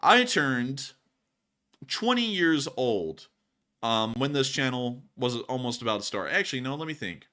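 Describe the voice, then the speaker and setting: A man's voice: mid-range voice, very animated, measured pace, pushed and bright. A man in his early twenties hosting live news commentary, talking casually to viewers in an emphatic, folksy way. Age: early 20s. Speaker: news channel host.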